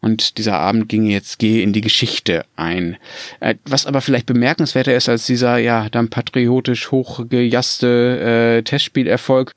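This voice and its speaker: A man's voice: mid-range voice, level tone, normal speed, dry recording, clean studio sound. A man with a Standard German accent from Germany, hosting a conversational podcast with discussion and personal anecdotes. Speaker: podcast host.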